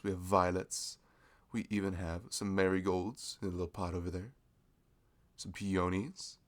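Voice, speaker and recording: A young man doing a chatty audio roleplay as a friendly shopkeeper, laughing often between lines. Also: sits low. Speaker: ASMR roleplay creator.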